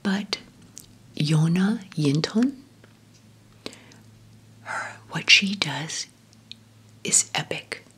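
A woman whispering gently through an ASMR question-and-answer video, talking fondly and slowly with soft fillers. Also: sits low, expressive, breathy edge. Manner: slow, gentle, affectionate rambling with fillers. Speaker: ASMR creator.

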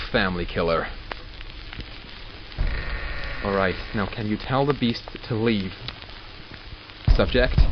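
A man's voice: mid-range voice, close to an everyday voice. A man acting in an audio drama as an arrogant, exasperated doctor who studies supernatural captives, clinical and sardonic in manner. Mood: tense, commanding, then panicked, pleading. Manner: clinical, sardonic, self-talking. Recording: muffled, with loud background noise.

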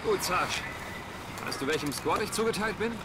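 A man's voice: high voice, animated, normal speed, heavy background noise. An actor playing a young male army private, an earnest and slightly unsure new recruit. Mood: unsure, polite. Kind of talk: scripted first-person narration and game dialogue.

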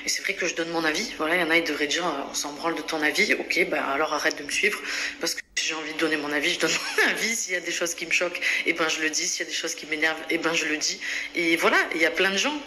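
A woman's voice: deep voice, fast, pushed and bright, a little hiss or hum. Outspoken pregnant woman in an echoey room. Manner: outspoken, chatty, frequent fillers and restarts. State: pregnant, on bed rest. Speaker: vlogger. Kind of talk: casual vlog chat. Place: home interior, bedroom and bathroom. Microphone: phone held at arm's length.

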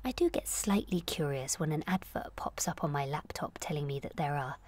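A woman in her late twenties performing a confessional comic monologue in a candid, wry, self-questioning way, moving between confiding and animated. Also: low-pitched, brisk, whispered. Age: late 20s. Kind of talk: confessional comic audio monologue, present-tense narration.

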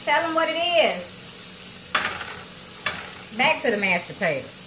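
Woman, 30s, chatty and playful, phone mic in a home kitchen, frying sizzling clearly behind her. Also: sits high, big pitch swings, slow pace, noisy background. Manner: chatty and playful, talks to viewers as y'all. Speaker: cooking show host. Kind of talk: casual livestream cooking chat. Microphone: phone on a tripod, close to arm's length.

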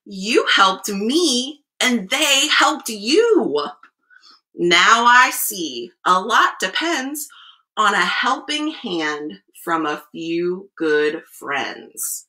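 A woman's voice: mid-range voice, sing-song, very slow, pushed and bright, heavily acted, rough recording. A woman playing a big, self-important male dump truck in a children's storybook, speaking emphatically. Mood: grateful.

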